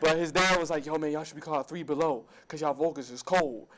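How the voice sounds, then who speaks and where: A man in his twenties, a singer guesting on a talk show, telling an animated story in slangy casual speech. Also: fairly high pitch, quick pace. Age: 20s. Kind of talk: casual interview.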